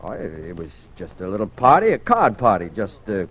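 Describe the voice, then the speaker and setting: An actor playing a nervous, oily small-time crook who stammers when cornered, in a 1940s radio drama. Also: male voice, lowish voice, wildly swooping pitch, a bit bright, breathy edge, full character voice. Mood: nervous evasive. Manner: oily, fast-talking, turns stammering and defensive. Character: shifty nervous male crook running a diner. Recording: dull old radio broadcast.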